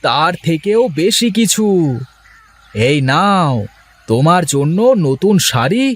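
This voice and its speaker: An actor voicing a poor village fisherman in a cartoon, cheerful and boastful, with a husky voice. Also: fairly high pitch, lively intonation, slow, fairly bright tone, heavily acted. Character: poor village fisherman turned eager trader. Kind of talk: Bengali cartoon voice acting.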